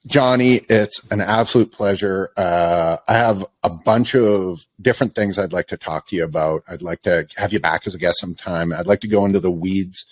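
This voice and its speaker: A Canadian man hosting a podcast in a studio, talking in an energetic, joking, confessional way. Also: low voice, moderate pace, few pauses, no room sound. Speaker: podcast host. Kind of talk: casual podcast chat.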